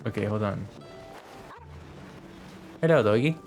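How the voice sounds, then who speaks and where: A man's voice: lowish voice, measured pace, a little mellow. A young man doing casual livestream commentary over a video game, rambling and thinking aloud. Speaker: game streamer. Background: game music.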